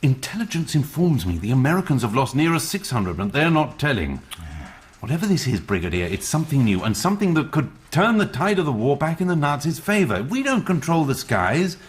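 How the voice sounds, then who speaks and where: An actor playing a ruthless, impatient British army intelligence major in a radio drama, with a clipped British accent. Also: male voice, on the high side, very animated, brisk. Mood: urgent.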